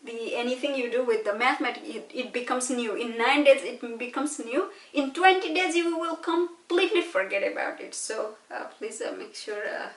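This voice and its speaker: A woman with a Nepali accent teaches in a conversational, questioning way, as a lecturer would. Her voice sits fairly high. The recording is very roomy and picked up a little way from the microphone. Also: expressive, normal speed, hardly any pauses. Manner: conversational, explains with questions and frequent checking tags. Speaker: physics lecturer. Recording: echoey room, distant mic.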